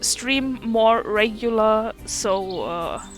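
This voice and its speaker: A woman from Germany with a German accent, chatting casually over gameplay, haltingly searching for her words in a self-deprecating way. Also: on the high side. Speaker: gaming video creator and streamer. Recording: faint game music behind.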